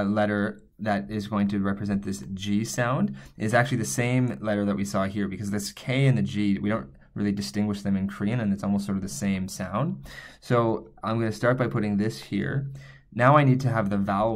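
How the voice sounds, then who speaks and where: A man teaching a recorded language lesson, explaining patiently and thinking aloud with small fillers as he writes. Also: lowish voice.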